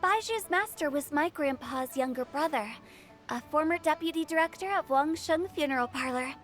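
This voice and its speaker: A woman's voice: high voice, animated, normal speed. A woman voicing a playful, mischievous young funeral director in a video game, teasing and spinning old tales. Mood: storytelling. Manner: teasing, theatrical, then storytelling. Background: game music.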